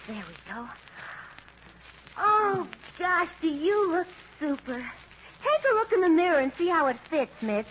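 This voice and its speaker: Brassy high-voiced radio-comedy gal pal. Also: female voice, sing-song, measured pace, fairly bright tone, heavily acted. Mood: coaxing, excited. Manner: slangy, wisecracking, ungrammatical. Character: brassy, scheming, loyal working-class young female roommate. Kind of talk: 1940s-50s radio comedy acting. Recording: muffled, noisy old radio recording.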